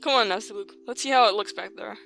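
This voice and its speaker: A woman's voice: mid-range voice, fast talker, vocal fry, a bit bright, soft background music. A woman playing a level-headed young human traveler in an audio drama, casual, dry and matter-of-fact. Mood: confident, amused.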